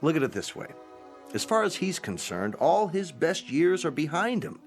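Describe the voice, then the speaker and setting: An actor playing a calm manager of an old folks' home in a radio drama, speaking in a patient, placating way. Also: male voice, fairly high pitch, moderate pace, soft background music. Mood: patient, reasoning.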